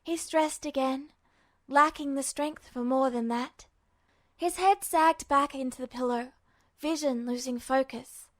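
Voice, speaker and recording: A woman reading fiction aloud as a scripted story narrator, measured, with dramatic pauses. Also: high voice. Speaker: amateur audiobook reader.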